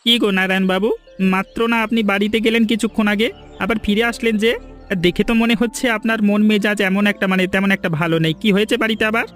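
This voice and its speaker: High-pitched meddling village gossip played by a man. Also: fairly even intonation, quick pace, a bit bright, soft background music. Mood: nosy, placating. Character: meddling gossipy village shopkeeper stirring family trouble. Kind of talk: animated cartoon story dialogue.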